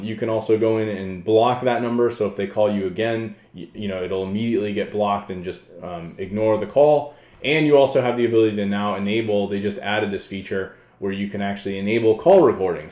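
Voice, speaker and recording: A man hosting a casual software tutorial, explaining things informally and off the cuff. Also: lowish voice. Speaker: tutorial host. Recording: roomy, echoey voice-over.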